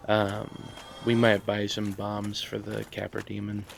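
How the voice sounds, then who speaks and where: A man hosting a casual let's play, talking in a monotone and rambling with many fillers. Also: fairly low pitch, unhurried, gravelly, noisy background. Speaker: gaming channel host.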